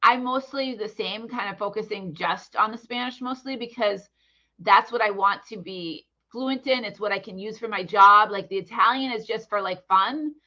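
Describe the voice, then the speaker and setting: American woman teacher, easygoing and halting, bright voice, muffled lo-fi call sound. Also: medium pitch. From United States. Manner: relaxed, hesitant with many fillers. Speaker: English teacher. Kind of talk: casual online conversation.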